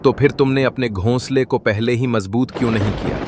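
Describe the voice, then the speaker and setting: A man voicing a grumpy, selfish male crow in a cartoon, who talks gruffly and brushes others off. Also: medium pitch, fairly quick, noisy background, lightly acted. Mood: annoyed. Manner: gruff, irritable, dismissive.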